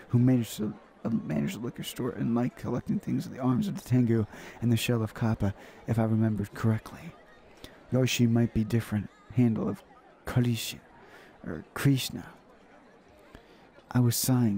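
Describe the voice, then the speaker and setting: A man hosting a gaming video, reading a visual novel aloud in a halting way, stumbling and repeating phrases. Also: mid-range voice, mellow, slight background noise.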